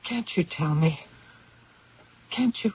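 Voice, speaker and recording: Old muffled radio drama, worried wife, really husky, lilting and coaxing. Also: female voice, low voice, animated, slow, full character voice. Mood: concerned. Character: concerned, attentive, coaxing wife, female. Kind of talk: 1970s radio drama acting. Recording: dull vintage radio recording.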